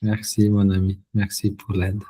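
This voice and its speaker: A man's voice: on the low side, completely flat delivery, warm tone, husky. A Brazilian man whose first language is Portuguese, hosting an online spiritist study session and often restarting his words. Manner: slow, halting, repeats words as he searches for them. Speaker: host of a spiritist study group.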